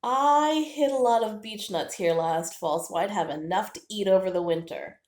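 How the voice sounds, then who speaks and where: A woman voicing a small, excitable and tender squirrel in a children's storybook, with expressive, exclamatory delivery. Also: medium pitch, normal speed, natural voice. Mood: excited. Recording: roomy, echoing indoor sound.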